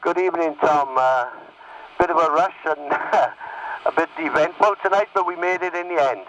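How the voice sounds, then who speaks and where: A man's voice: on the high side, normal speed, a bit bright. A man chatting casually as an amateur operator over CB radio. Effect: CB radio transmission. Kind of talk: casual radio net chat. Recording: noisy, distant CB radio signal.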